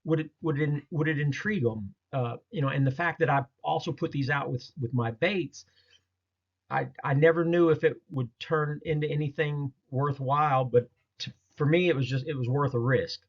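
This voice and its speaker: A man's voice: a little high, normal speed. Man telling stories in dull lo-fi audio. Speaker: presenter recounting a field experience. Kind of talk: casual narrated slideshow storytelling.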